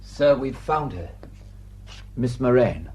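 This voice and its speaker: A man's voice: medium pitch, lively intonation, slow pace, darkish tone, breathy edge. A man acting a deferential, plain-spoken village sergeant with a Northern English accent in a radio drama. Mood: deferential, urgent.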